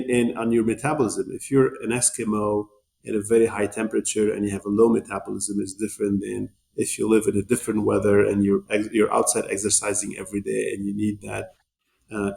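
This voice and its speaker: A man's voice: fairly low pitch, level tone, fairly quick, creaky, darkish tone, slight background noise. A man, talking as a podcast guest and explaining things in a rambling way, often repeating words as he restarts phrases. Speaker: podcast guest, nutrition company executive. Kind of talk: conversational interview explanation.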